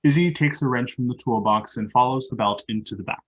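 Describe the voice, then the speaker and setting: A man with a slightly husky voice reading stage directions as scripted narration for a play. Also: mid-range voice, fairly quick, poor audio. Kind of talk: scripted narration at a live table read.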